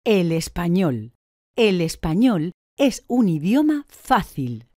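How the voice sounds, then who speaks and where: A woman answers drill questions very slowly, with a mellow, lowish voice and lively rises and falls in pitch. Every word is carefully articulated. The recording is close and dry. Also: no echo. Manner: slow, deliberate, clearly articulated answers. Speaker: language course speaker.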